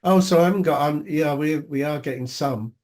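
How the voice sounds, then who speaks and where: A man's voice: a little high, a little fast, darkish tone. A man on a video call who mutters and thinks aloud as he hunts through his screen. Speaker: meetup attendee. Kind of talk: casual video call chat.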